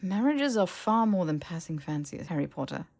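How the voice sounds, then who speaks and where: A woman voicing a brisk, bookish, sceptical young woman in an audiobook, explaining and questioning with precision. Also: fairly low pitch, big pitch swings, a little mellow. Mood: sceptical, probing. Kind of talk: audiobook character dialogue.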